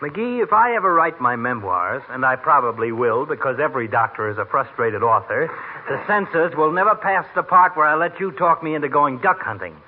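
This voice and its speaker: Grouchy high-voiced radio doctor played by an actor. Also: male voice, expressive, fairly quick, lightly acted. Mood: sarcastic. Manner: caustic, florid insults. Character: grouchy, sarcastic small-town doctor, needling his friend. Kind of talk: 1940s radio comedy acting. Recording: old radio broadcast, tinny, noisy.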